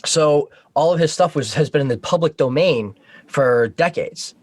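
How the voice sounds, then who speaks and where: A man's voice: a little high, expressive, moderate pace, no room sound. A man chatting casually as a podcast guest over a video call, explaining things in an informal, geeky way.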